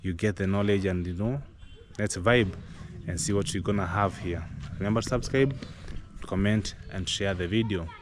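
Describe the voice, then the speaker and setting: A man's voice: fairly low pitch, normal speed. Upbeat Kenyan man vlogging outdoors. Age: young adult. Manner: chatty, upbeat, repeats phrases. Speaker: vlog host. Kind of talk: casual outdoor vlog chat. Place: village compound outdoors. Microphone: action camera, no mic. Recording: noisy outdoor camera audio.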